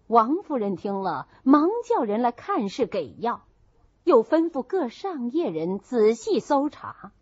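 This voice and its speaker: Female audiobook narrator, slow pace, animated intonation, classical storytelling, dull lo-fi sound. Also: sits high, dry recording. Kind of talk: scripted narration of a classical novel. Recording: dull, muffled lo-fi recording.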